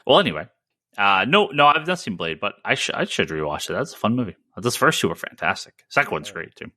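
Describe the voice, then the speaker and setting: Bright-voiced man hosting a casual podcast. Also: on the low side, very animated, quick pace, no room sound, clean studio sound. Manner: informal, rambling, conversational. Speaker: podcast host. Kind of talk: casual podcast chat with listener shout-outs and plugs.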